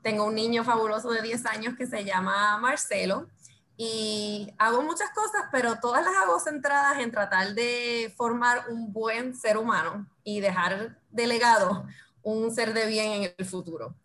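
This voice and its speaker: A woman's voice: a little high, unhurried, sharp tone. A woman chatting casually as an interview guest. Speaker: interview guest, lawyer and mother. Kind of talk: casual chat.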